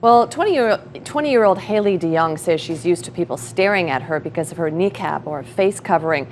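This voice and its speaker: Lower-voiced female TV reporter. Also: lively intonation, brisk, a little hiss or hum. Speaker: television news reporter. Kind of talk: scripted news report.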